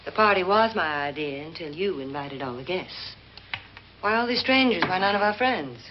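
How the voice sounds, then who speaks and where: Actress as low-voiced, icy millionaire's wife. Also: female voice, lively intonation, normal speed, lightly acted. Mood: irritated. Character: cool, disdainful, sharp-tongued millionaire's wife. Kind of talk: 1950s horror film acting. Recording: dull, noisy vintage film soundtrack.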